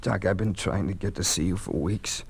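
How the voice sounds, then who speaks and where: Low-voiced, ailing patient. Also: male voice, normal speed, slightly rough, exaggerated voice. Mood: weary, plaintive. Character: weak, ailing, plaintive male patient. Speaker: actor. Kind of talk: 1950s radio drama acting. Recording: faintly noisy old 1950s radio recording.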